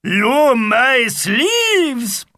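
A man voicing a big, scruffy, proud male Viking warrior who speaks slowly and loudly in broken phrases. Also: extremely high pitch, very animated, no echo, studio quality, pitched above the performer's own voice, heavily acted. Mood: boastful. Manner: loud slow broken speech.

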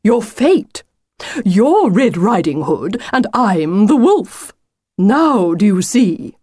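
A woman's voice: mid-range voice, very animated, takes it slowly, dark tone, slightly rough, no room sound, clean studio sound, voice pushed up, heavily acted. A woman voicing a pompous, insistent male storybook wolf with a grandiose, wheedling, theatrical manner. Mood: pompous, coaxing. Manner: grandiose, emphatic, wheedling old-fashioned phrasing.

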